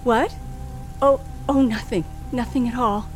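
A woman's voice: on the high side, fairly even intonation, measured pace, soft background music, natural voice. An actress playing a frightened, tense woman traveler with a dramatic, hesitant delivery in an old radio thriller. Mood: fearful. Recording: faintly noisy old radio recording.

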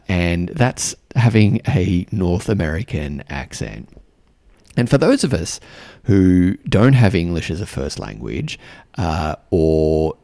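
A man's voice: low voice, creaky, dark tone, dry recording, clean studio sound. A middle-aged Australian man with a mild Australian accent, hosting a solo podcast in a reflective, rambling way full of ums and uhs. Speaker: podcast host and diplomatic mentor. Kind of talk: casual solo podcast talk.

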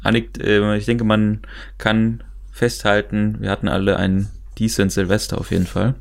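A young man hosting a podcast in casual conversation. His voice sits on the low side and has a clear creak to it, with a loose, everyday way of talking. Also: little pitch movement, normal speed. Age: young adult. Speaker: podcast host. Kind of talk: casual chat.

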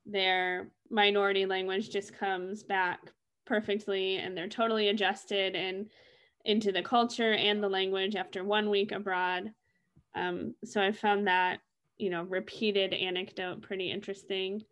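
A woman's voice: medium pitch, level tone, a little slow, a bit bright, smooth. The young woman you would hear co-hosting a small discussion podcast, thinking aloud in casual conversation. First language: English. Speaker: co-host in a reflective discussion.

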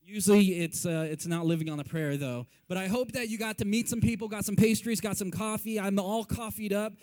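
A Korean-born man who pastors a church, opening casually with rambling, self-deprecating stories and lots of halting fillers. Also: high voice, darkish tone. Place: church sanctuary.

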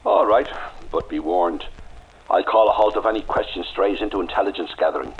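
An actor playing a wary, defiant rebel leader awaiting execution, with a clipped, bitter manner. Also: male voice, sits low, brisk, husky, narrow, tinny audio, exaggerated voice. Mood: guarded. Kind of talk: radio drama acting.

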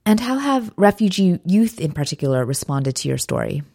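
Woman, interviewer, quite low pitch, mellow tone, dry close sound, formal scripted questions. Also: big pitch swings, fairly quick, gravelly, slightly rough, no echo.

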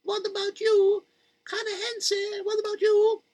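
A man's voice: extremely high pitch, completely flat delivery, measured pace, darkish tone, no echo, voice pushed up. A man doing a wailing, frantic mother. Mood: panicky. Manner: wailing, sobbing. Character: frantic fretting mother, wailing, Yiddish-peppered.

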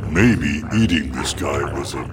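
A man voicing a big, dim barbarian fast-food worker with a slow, blunt, casual delivery in a comic radio drama. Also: rumbling low, expressive, background noise, pitched below the performer's own voice. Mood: worried. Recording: music bed behind the voice.

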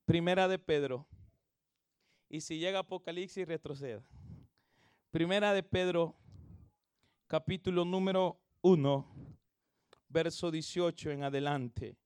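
Slow, high-voiced preacher man. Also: smooth, dry recording, clean studio sound. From El Salvador. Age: 30s. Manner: preaching with swells into shouts, leads the congregation in reading; calls everyone "hermano", drawn-out emphatic phrasing. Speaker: pastor. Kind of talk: evangelistic sermon.

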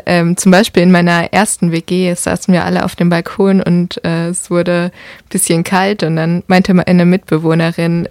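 A young woman from Karlsruhe with a slight Baden accent, co-hosting a casual podcast and pausing often on fillers. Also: fairly low pitch, darkish tone, no echo, clean studio sound. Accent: slight Baden German. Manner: hesitant, fills with ähm. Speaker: podcast co-host.

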